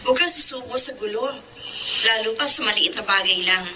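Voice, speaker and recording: A woman's voice: fairly high pitch, fairly quick, lightly acted. A Filipino woman acting in a radio drama as a pleading young woman. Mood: gentle, pleading. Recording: noisy background.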